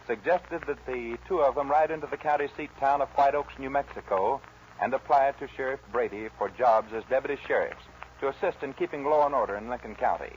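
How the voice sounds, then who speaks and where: A man's voice: medium pitch, fairly quick. 1940s radio announcer man, dull noisy recording.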